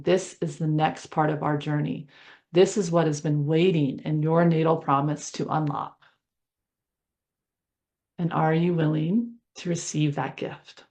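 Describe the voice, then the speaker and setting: A woman leading a guided meditation in a soothing, conversational way, speaking in short phrases with pauses between them. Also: sits low, a little mellow. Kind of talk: guided meditation, gentle improvised visualization.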